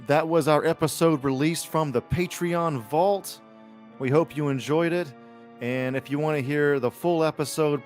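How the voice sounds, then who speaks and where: A man co-hosting a podcast, chatting casually and enthusiastically. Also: medium pitch. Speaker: podcast co-host.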